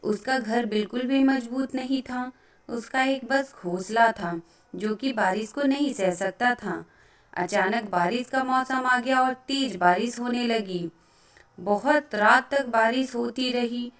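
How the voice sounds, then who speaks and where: Fairly high-pitched woman storyteller. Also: slow pace. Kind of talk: scripted narration of children's moral story.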